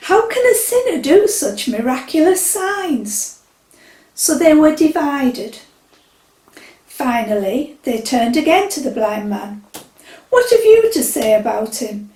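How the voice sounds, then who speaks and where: A woman reading a Bible passage at a church service, in a measured, expressive way. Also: on the high side. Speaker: scripture reader. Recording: echoey church from a distance.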